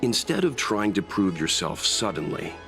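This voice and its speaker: An actor playing a composed, courteous man in a video game, speaking in a formal, measured, tactful way. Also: lowish voice, sing-song, music in the background. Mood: measured, advising. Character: composed, courteous adult man giving measured family advice.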